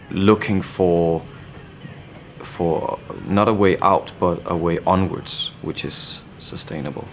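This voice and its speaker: A man from Denmark with a Danish accent, a band member answering interview questions in a reflective way, often pausing to search for words. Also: deep voice, creaky, a little hiss or hum.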